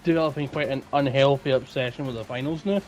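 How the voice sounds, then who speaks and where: A man co-hosting a casual gaming podcast, talking in a halting way and often repeating his words. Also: mid-range voice, steady tone, a little mellow. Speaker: podcast co-host. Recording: faint background noise.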